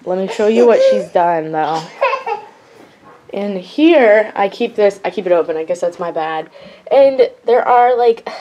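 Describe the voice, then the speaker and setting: A woman's voice: fairly low pitch, dark tone. A young woman chatting casually and ramblingly to camera at home, in the manner of a family vlogger. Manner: rambling, chatty self-narration. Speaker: family vlogger, mother of a baby. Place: at home, indoors. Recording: clearly noisy home recording with clicks.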